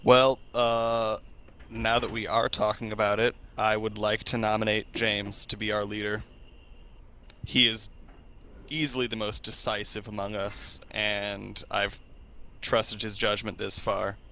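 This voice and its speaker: A man's voice: on the low side, flat delivery, a little slow, bright, forceful tone, slight background noise. A man improvising in character during a group role-play discussion.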